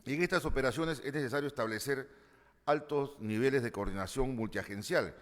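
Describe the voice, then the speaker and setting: A man introducing a conference session in a formal, measured, ceremonious way, with the odd filler. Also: medium pitch. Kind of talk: formal prepared address. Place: large conference hall. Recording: long hall reverb.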